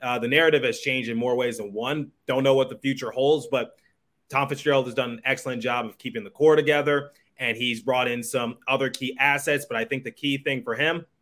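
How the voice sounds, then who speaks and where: A man's voice: mid-range voice, steady tone, fairly quick, fairly bright tone. A man hosting a sports podcast, talking conversationally about hockey with his guest. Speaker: podcast host, sports journalist.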